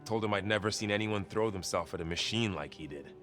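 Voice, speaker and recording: A loyal young warrior voiced by a man in a cinematic game scene. His voice is on the low side. He speaks calmly and sincerely, with a thoughtful, conversational and steady delivery. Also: fast talker, faint music behind, close to an everyday voice. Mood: sincere, easygoing. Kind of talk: naturalistic video game cutscene acting.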